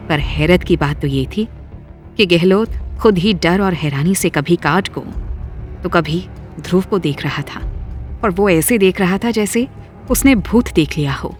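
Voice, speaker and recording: Casting: audio story narrator, mid-pitched voice, scripted storytelling, soft music underneath.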